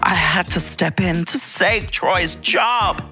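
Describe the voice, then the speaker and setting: A woman's voice: takes it slowly, soft background music, exaggerated voice. A woman playing a spoiled, scheming teenage girl diva in a put-on American accent, drawling and mocking. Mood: smug. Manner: drawling, mocking, high and bright.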